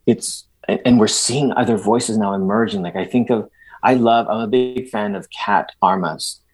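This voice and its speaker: A husky, low-voiced middle-aged man from El Salvador speaks as an interview guest. He talks animatedly, restarting sentences and throwing in emphatic asides. His tone is slightly breathy and rough. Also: moderate pace, darkish tone. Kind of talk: conversational interview.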